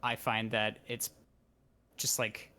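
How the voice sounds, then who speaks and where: A man's voice: lowish voice, completely flat delivery, slow pace, pushed and bright. A man chatting casually as a student in a coaching session, hesitant and thinking aloud as he goes. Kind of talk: casual chat. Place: remote online voice call.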